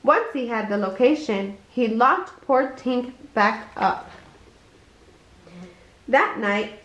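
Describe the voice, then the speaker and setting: A woman with a fairly high voice reads a children's storybook aloud in an echoey room. Her delivery is expressive, suited to a bedtime story. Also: dull audio. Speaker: storybook reader.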